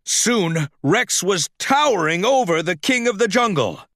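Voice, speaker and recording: Animated, unhurried male storybook narrator. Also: high-pitched, no room sound, studio quality. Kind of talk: expressive scripted children's story narration.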